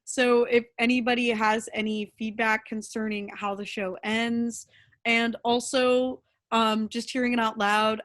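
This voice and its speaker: A young woman playwright talking casually and candidly about her writing. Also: fairly high pitch. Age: young adult. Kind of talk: casual, candid feedback talk.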